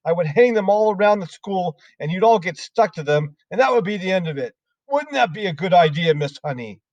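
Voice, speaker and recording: A man voicing a cruel, towering headmistress who shouts insults at children. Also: high voice, lively intonation, fairly quick, dry recording, voice pushed up. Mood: scornful. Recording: dull lo-fi sound.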